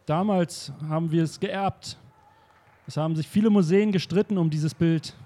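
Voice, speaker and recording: Ein Mann spielt einen aufgeregten, stockenden Museumsdirektor in einem improvisierten Hörspiel. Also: male voice, a little high, moderate pace, warm tone, close to an everyday voice. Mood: fussy. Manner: hesitant, stammering, fussy. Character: flustered male museum director, older. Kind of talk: improvised radio play.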